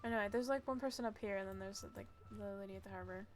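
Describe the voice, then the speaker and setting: A woman's voice: medium pitch, racing. A woman game streamer giving casual, giggly live commentary with murmured asides. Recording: home stream with background noise.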